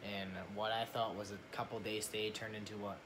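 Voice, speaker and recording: Young guy vlogger, slightly deep voice, just talking casual to camera, pretty noisy home recording. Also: little pitch movement, a little fast. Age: young adult. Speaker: family vlogger.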